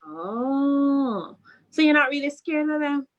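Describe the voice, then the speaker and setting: A woman's voice: high-pitched, lively intonation, takes it slowly, highs cut off. A woman, a school principal leading a question-and-answer chat with children, prompting them and repeating questions and answers back.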